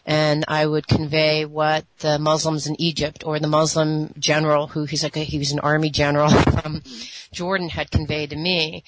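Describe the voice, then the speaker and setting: A woman's voice: low-pitched, dry recording, rough recording. A woman hosting a talk radio interview in a casual, earnest and rambling way, from the United States. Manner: earnest, rambling, with fillers and laughs. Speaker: radio show host.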